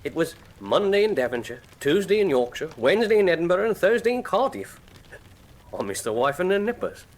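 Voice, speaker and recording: A chatty working-class hangman, retired and proud of his trade, in a radio mystery. His fairly high voice bounces along in folksy, plain-spoken fashion. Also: very animated, fairly quick. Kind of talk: full-cast radio mystery drama acting.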